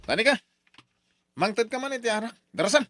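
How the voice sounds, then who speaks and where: An actor with a Filipino accent playing an abusive, hot-tempered younger man in a radio drama, loud and barking. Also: very high-pitched, expressive, fairly quick, soft background music, full character voice. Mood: furious, menacing. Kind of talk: melodramatic radio drama acting.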